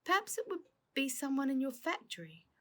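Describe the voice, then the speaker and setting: A woman voicing a caring, calm mother, gentle and concerned, in a storybook reading. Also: high voice, brisk, pitched above the performer's own voice. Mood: thoughtful.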